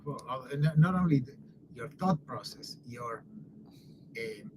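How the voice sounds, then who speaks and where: A middle-aged man chatting in a halting way, stopping and restarting his sentences and clearing his throat now and then. He sits at an average pitch, and a steady background noise runs clearly under his voice. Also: rough recording. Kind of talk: casual conversation.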